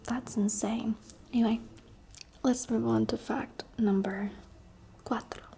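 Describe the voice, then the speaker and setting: Young woman ASMR creator from the UAE, soft unvoiced whisper, chatty and candid, faint hiss behind. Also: fairly high pitch, steady tone, takes it slowly, gravelly.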